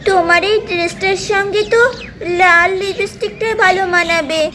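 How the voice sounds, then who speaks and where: Woman acting a very high-voiced, gentle doll maid. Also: steady tone, moderate pace, background noise. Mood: caring, pleading. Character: gentle, caring, servile young doll housemaid. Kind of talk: children's doll-play cartoon voices.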